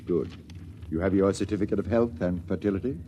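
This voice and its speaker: An actor playing a formal, authoritative priest who questions visitors curtly in a 1950s radio drama. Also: male voice, low-pitched, a little fast, warm tone, close to an everyday voice. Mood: stern, formal. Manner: curt, official. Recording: noisy old radio recording.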